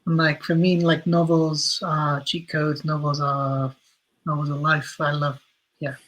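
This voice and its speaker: A male podcast guest talks casually and reflectively. His voice sits a little high for a man and moves within a narrow range. He is earnest, often restarting a phrase as he thinks. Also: completely flat delivery, measured pace, fairly bright tone. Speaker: podcast guest, writer and director.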